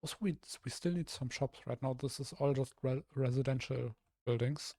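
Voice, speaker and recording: A young man from Germany, a streamer with a rough, slightly hoarse voice, thinking aloud hesitantly with many restarts while he builds in a game. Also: medium pitch, normal speed, dark tone, no echo, clean studio sound. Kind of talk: casual live-stream commentary while building.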